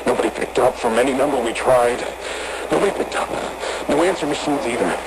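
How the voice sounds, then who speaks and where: A man acts out a scared, weary construction worker in a found-footage horror tape. His mid-pitched voice halts and stumbles through rough, sweary talk. It comes over a radio, buried in loud noise. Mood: shaken, bewildered. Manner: halting, swearing, colloquial. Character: frightened, worn-down construction worker reporting on a radio. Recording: very noisy radio transmission.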